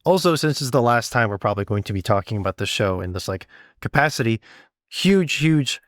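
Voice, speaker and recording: A man's voice: medium pitch, animated, fairly quick, no echo. Casual encouraging man, close dry sound. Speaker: podcast co-host and sound designer. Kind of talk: casual conversational podcast talk.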